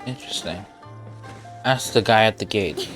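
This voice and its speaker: A man's voice: fairly low pitch, moderate pace, fairly bright tone, soft background music. A man giving casual live commentary as a game streamer, with laid-back, murmured reactions.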